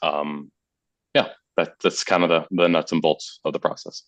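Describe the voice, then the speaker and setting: A man who is a muralist, hoarse from being under the weather, presenting to a committee and pausing often to search for words. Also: very deep voice, flat delivery, dry recording, dull audio. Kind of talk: meeting presentation.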